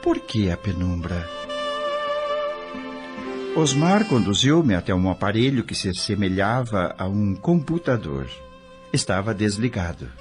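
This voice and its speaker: Male actor as awed, curious newcomer spirit narrating an audio novel, low register, animated delivery. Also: unhurried, long pauses, music in the background. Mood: wondering, reflective. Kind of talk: dramatized scripted narration.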